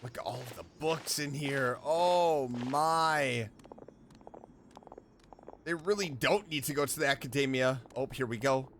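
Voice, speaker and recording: A man's voice: medium pitch, a little slow, fairly bright tone, slight background noise. A man streaming a video game, giving casual reaction commentary.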